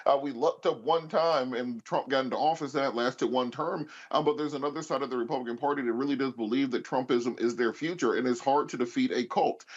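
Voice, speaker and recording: A man with a mid-pitched voice gives emphatic political commentary as a panelist. He speaks off the cuff and argues his case, over a slightly dull, lo-fi feed. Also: fairly quick, few pauses.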